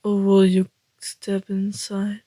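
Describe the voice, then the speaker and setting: A woman voicing a flirty, teasing male vampire in an intimate ASMR roleplay, speaking in a deliberate half-whisper. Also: medium pitch, completely flat delivery, mellow, no echo. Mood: inviting, flirty.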